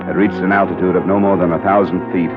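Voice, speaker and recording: An American actor playing a tough, level-headed insurance investigator in an old radio drama, narrating in a clipped, hard-boiled, matter-of-fact way. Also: male voice, deep voice, flat delivery, fast talker, natural voice. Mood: grim, matter-of-fact. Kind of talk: 1940s-50s radio detective acting, first-person narration. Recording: muffled vintage radio recording with music.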